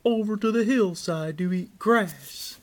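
A man's voice: high-pitched, measured pace, pitched above the performer's own voice. A man voicing a pleading, persuasive young billy goat in a children's story.

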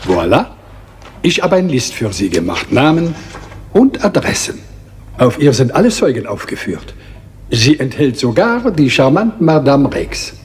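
An actor playing a fussy, courteous foreign detective with a French accent, formal and pompous in a German radio crime drama. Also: male voice, mid-range voice, big pitch swings, measured pace, darkish tone, big-room sound, a little hiss or hum. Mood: genial, gallant.

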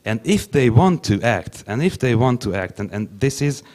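A young man whose first language is Hungarian, speaking English haltingly on a conference panel into a handheld mic, with many restarts. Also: mid-range voice, sing-song, a little mellow. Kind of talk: panel discussion.

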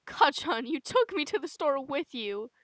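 A woman's voice: high voice, sing-song, unhurried, fairly bright tone, no room sound, pitched above the performer's own voice, heavily acted. A woman voicing a sweet, earnest teenage boy hero in a comic dub, polite and eager.

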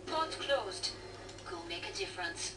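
A woman's voice: medium pitch, very animated, measured pace. An actress playing a poised, teasing female arms trader in a video game. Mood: brisk, encouraging. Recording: distant, echoey, noisy television speaker capture.

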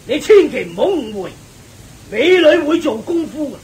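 A man's voice: very high voice, sing-song, moderate pace, a little mellow, rough recording. An actor playing a sly, cowardly demon king posing as a god, with a wheedling, whining manner, in a radio drama. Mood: fawning, wheedling. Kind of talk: Cantonese radio drama acting.

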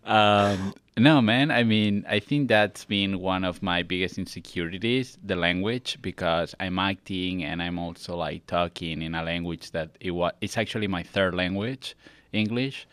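Low-voiced young Spanish-accented man. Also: moderate pace, fairly bright tone, clear, resonant tone, dry recording. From Catalonia, Spain. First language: Spanish and Catalan. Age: young adult. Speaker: actor, podcast guest. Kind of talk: casual interview talk. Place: small podcast studio. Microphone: close boom-arm studio mic.

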